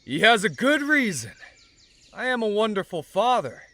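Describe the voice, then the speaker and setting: A man's voice: high voice, very animated, moderate pace, pushed and bright, full character voice. A man playing a hot-headed, stubborn young father, exasperated and grumbling, in an anime fan audio drama. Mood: teasing.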